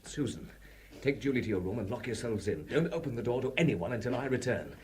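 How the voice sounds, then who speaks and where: A man's voice: medium pitch, lively intonation, fast, a little mellow, a little hiss or hum, close to an everyday voice. An actor playing a determined young man who speaks firmly and urgently in an old radio drama. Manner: firm, urgent. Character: determined young man named Bob, brave. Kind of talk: 1960s radio drama acting.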